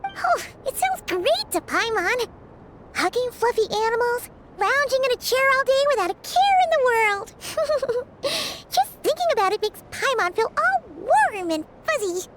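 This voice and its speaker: A woman's voice: very high-pitched, big pitch swings, a little slow, heavy background noise, full character voice. An actress voicing a tiny, cheerful, childlike fairy girl companion in a video game, bubbly and full of exclamations. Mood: delighted. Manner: bubbly, exclaiming, speaks of herself in third person. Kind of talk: video game character dialogue. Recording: loud music under the voice.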